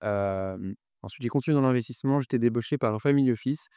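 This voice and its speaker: A man in his thirties from New Caledonia answering interview questions conversationally, often pausing on fillers and repeating words. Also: medium pitch, mellow, clean tone, no room sound, clean studio sound. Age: 30s. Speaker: podcast guest, finance executive.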